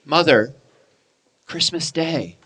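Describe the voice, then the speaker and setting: An actor playing a gentle, grateful, peace-keeping family man in a radio play. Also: a little high, lively intonation, slow pace, slight background noise, exaggerated voice. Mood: pleading. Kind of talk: live radio play acting.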